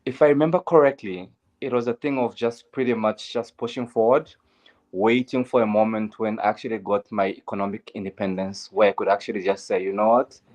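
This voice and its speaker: A young Zambian man speaks in an interview with a lowish voice. He talks earnestly and candidly, filling gaps with "um" and coming back to stock phrases. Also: steady tone, a little fast, darkish tone, muffled recording. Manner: earnest and candid, with frequent "um" and "at the end of the day". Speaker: guest, LGBTQ advocate. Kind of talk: interview, personal story.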